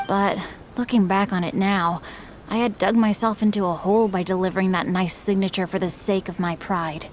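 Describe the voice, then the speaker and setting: A woman's voice: medium pitch, steady tone, normal speed, slight background noise, close to an everyday voice. A woman voicing a polite young male swordsman and author who speaks in a formal, earnest way. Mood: sheepish.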